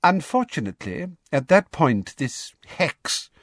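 A man voicing a smug female official in an audiobook, soft and condescending with a falsely kind manner. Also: on the high side, sing-song, a little slow, no room sound, clean studio sound, pitched above the performer's own voice, heavily acted. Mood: smug, reporting. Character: silky, smug, sickly-sweet middle-aged female official.

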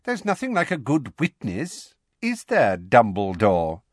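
A man's voice: mid-range voice, wildly swooping pitch, measured pace, no echo, clean studio sound. A man voicing a pompous older male minister in an audiobook, blustering, hearty and patronising. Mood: malicious, pleased. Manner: hearty, bumbling, patronising, easily angered.

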